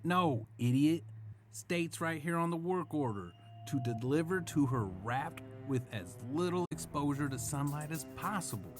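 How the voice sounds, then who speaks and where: A man's voice: fairly high pitch, expressive, a little slow. An actor playing a brusque male mover from an auction house who orders his partner around. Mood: impatient. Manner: brusque, bossy toward partner. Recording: music bed behind.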